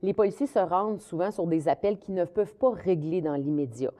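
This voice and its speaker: A woman's voice: on the low side, a little fast, dark tone. A middle-aged woman with a Québécois French accent, a community police officer explaining her career steadily, with many fillers and restarts. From Quebec, Canada. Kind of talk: interview answers.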